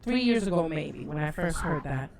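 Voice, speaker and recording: A woman's voice: low-pitched, lively intonation, moderate pace, vocal fry, a little mellow. A woman co-hosting a casual livestream talk, rambling and gushing with many restarts. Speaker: co-host.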